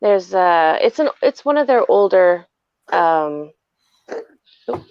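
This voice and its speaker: Woman guest, slightly low voice, chatty and meandering, muffled dry call audio. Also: big pitch swings, slow, darkish tone, clean tone, no echo. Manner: chatty, rambling with fillers. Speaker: guest on livestream. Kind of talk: casual video call chat. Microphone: video call.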